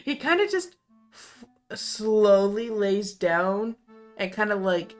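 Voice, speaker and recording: Female game master for tabletop roleplay, improvised scene narration, very measured pace, faint music behind. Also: mid-range voice, lively intonation.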